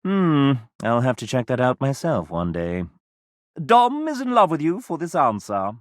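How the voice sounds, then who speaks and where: Sassy guide, wildly swooping pitch. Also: male voice, huge pitch swings, a little slow, no echo, studio quality. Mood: chatty, arch. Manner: sassy, arch, theatrical. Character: sassy, theatrical, chatty male guide to dates. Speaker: narrator and guide. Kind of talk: scripted video game narration.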